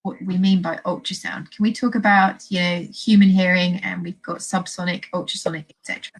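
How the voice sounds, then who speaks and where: A woman's voice: lowish voice, level tone, fairly quick, slightly rough, low-quality recording. A woman hosting an informal educational interview, chatty, putting things in plain terms and asking questions. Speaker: host.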